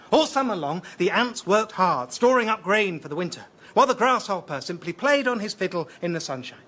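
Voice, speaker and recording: A patient father, bright-voiced storyteller. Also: high voice, heavily acted. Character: patient father telling a bedtime fable. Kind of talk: radio sketch, storybook reading.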